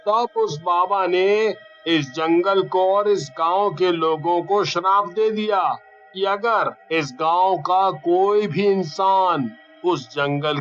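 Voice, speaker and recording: Man as solemn, slow-speaking young villager. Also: high voice, fairly bright tone, lo-fi sound. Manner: slow, grave storytelling.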